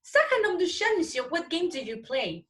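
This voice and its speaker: A woman's voice: very high voice, very animated, moderate pace, a bit bright, exaggerated voice. A woman playing an indignant male sailor from Corsica in a 1940s-style radio play, protesting emphatically. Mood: indignant, pleading.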